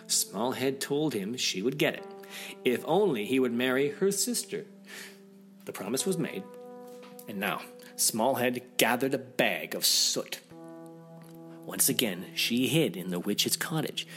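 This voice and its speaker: A man's voice: medium pitch, a bit bright. A man reading a fairy tale aloud as a storyteller, with dramatic, theatrical expression.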